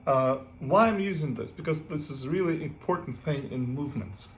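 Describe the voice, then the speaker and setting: A man's voice: on the high side, a little fast. A man from Ukraine, teaching a casual guitar lesson and often checking in with "right?" between filler words. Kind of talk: casual music lesson with playing. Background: guitar playing.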